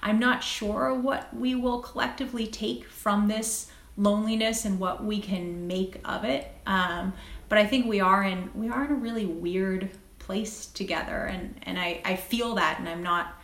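A woman speaking as a physician guest in an interview, thoughtful and reflective, often halting and repeating phrases as she finds her words. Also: mid-range voice, vocal fry, a bit bright. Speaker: guest, critical care physician. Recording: echoey, roomy sound.

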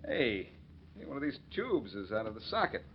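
An actor playing a confident, wry amateur detective in a 1940s radio drama, brisk and probing. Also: male voice, mid-range voice, sing-song. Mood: wry, easygoing. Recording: dull, noisy old 1940s radio recording.

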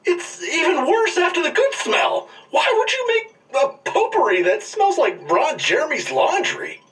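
An actor playing a melodramatic smell tester in a broad film comedy, savouring every exaggerated description. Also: male voice, very high voice, sing-song, a little slow, a bit bright, distant mic, full character voice, poor audio. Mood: anguished. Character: theatrical comic movie smell tester, melodramatically disgusted or enraptured.

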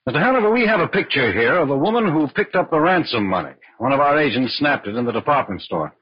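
Husky, brisk federal agent, animated. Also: male voice, on the high side. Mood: businesslike. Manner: crisp orders and attentive questioning. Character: brisk, businesslike male FBI agent, in charge, encouraging. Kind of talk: 1940s radio crime drama acting. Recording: dull, lo-fi old radio recording.

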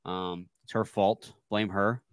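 A man's voice: on the low side, little pitch movement, takes it slowly, clear, resonant tone, no echo, studio quality. A man with a stuffy, congested voice, hosting a casual sports podcast with laid-back, joking banter. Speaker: podcast co-host.

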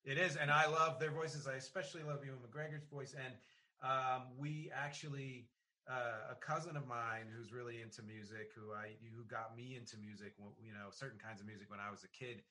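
A man speaks as the host of a casual interview. His voice is bright and forceful, at an average pitch. He is reflective and halting, working out his points aloud. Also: steady tone. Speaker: podcast host.

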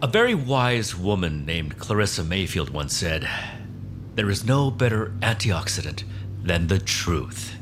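Pompous, theatrical boss giving speeches. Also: male voice, deep voice, sing-song, noisy background. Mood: solemn, self-important. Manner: pompous, dramatic pauses. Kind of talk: audio drama acting.

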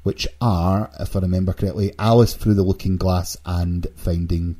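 Low, mellow, husky-voiced male podcast host. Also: fairly even intonation, normal speed. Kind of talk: casual gaming news discussion.

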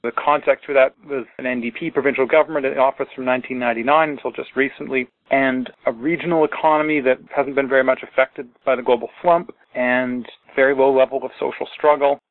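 A man in his forties, a socialist organizer giving a deliberate political analysis in an interview over a call. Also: medium pitch, steady tone, dry recording. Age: 40s. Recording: tinny phone line.

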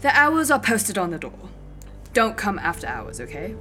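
A woman's voice: mid-range voice, big pitch swings, moderate pace, over music, natural voice. An actress playing a brusque, offhand female clerk in an audio drama, bossy and matter-of-fact. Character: brusque, casual female clerk running a grisly after-hours trade. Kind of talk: audio fiction acting.

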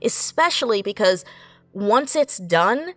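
A woman's voice: mid-range voice, sing-song, slow pace, dry recording. A woman speaking in an earnest, encouraging and emphatic way in a reflective panel conversation, often repeating phrases to press a point. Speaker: audio fiction writer on a panel. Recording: music playing behind the voice.

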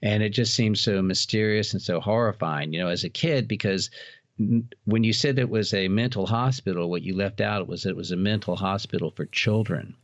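A man's voice: lowish voice, fairly even intonation, moderate pace. A man being interviewed, telling anecdotes in a casual, conversational way. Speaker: interview guest, haunted house operator. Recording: dull lo-fi sound, roomy echo.

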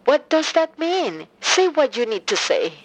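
A woman's voice: sits high, sing-song, a little slow, rough recording. Blunt, grieving mother on the phone. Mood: anxious, wary. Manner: terse, blunt, suspicious. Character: grieving, bitter mother of an adult son. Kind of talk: full-cast audio drama acting.

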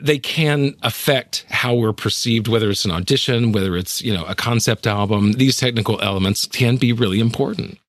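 A man's voice: medium pitch, moderate pace, few pauses, dry recording. Conversational male host, dry close sound. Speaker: podcast host and actor. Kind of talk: casual interview chat.

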